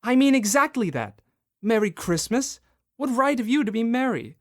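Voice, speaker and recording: An actor playing a bitter, miserly old moneylender in a student radio drama, snarling and barking scornful orders. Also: male voice, very high-pitched, very animated, normal speed. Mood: contemptuous.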